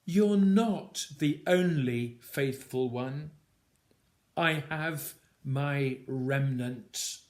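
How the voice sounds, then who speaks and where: A man reads his own narrative Bible poem in a measured, deliberate way, in short phrases set apart by pauses. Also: mid-range voice. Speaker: poet reading own work. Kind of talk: dramatic poetry recitation of a Bible story.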